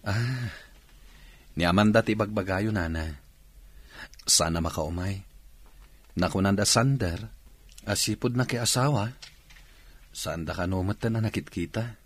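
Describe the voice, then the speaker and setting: A man acting a polite, good-natured young bachelor with a husky voice in an Ilocano radio drama. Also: on the low side, a little slow, long pauses, no room sound. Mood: respectful.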